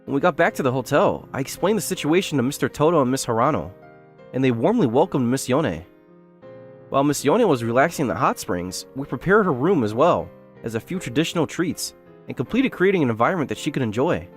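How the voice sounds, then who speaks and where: A man's voice: mid-range voice, big pitch swings, brisk, close to an everyday voice. A man playing an earnest, polite young hotel owner in a manga dub, both narrating and speaking in character. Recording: background music under the voice.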